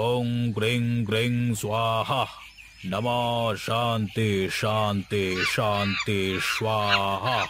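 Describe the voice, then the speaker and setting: A man's voice: lowish voice, fairly bright tone, a little hiss or hum. A man voicing a stern, commanding guru in a cartoon, speaking solemnly. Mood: ritual, solemn. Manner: solemn, commanding, slow, chanting mantras at times. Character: stern holy man, a guru laying down rules. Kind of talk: cartoon voice acting.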